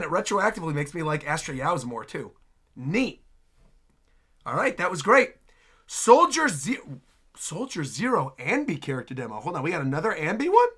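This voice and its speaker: A man's voice: fairly high pitch, a bit bright. A man hosting a reaction stream, giving casual live commentary and rambling as he thinks aloud.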